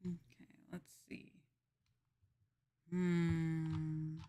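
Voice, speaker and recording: A woman's voice: deep voice, little pitch movement, extremely slow, mellow, faint music behind. A woman livestreaming a game with casual commentary, chatting to viewers and rambling as she thinks aloud. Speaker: livestreamer playing a farming game.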